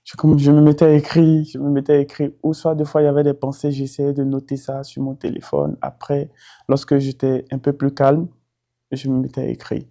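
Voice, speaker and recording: A man from Côte d'Ivoire speaks in a very dark, mellow voice at a middling pitch. He talks hesitantly, searching for his words and restarting sentences as he shares a personal testimony. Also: monotone, fairly quick. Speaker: student and former doctor.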